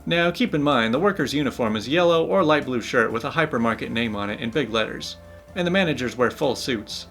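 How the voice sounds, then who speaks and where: A young man, a channel host, reading online stories aloud in a playful, animated way with his own commentary. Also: medium pitch, a little fast, faint music behind. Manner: animated, playful, laughs while telling. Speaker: channel host, story reader.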